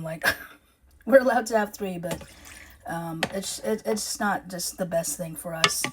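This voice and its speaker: A woman's voice: mid-range voice, background noise. A woman with a Filipino accent, chatting casually to camera in her home kitchen, rambling a little and correcting herself with plenty of ums. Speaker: urban homesteading vlogger.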